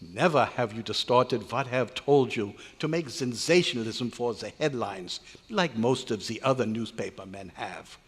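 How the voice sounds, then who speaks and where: An actor playing a grumbling old research scientist with odd foreign-style phrasing in a live recreation of an old radio drama. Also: male voice, mid-range voice, animated, normal speed, few pauses, slightly rough. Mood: softened, fond. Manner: grumbling, exasperated, inverted foreign-sounding phrasing. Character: cantankerous old male research scientist, grumbling yet fond. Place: large hotel meeting room at a convention. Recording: echoey hall, live stage performance.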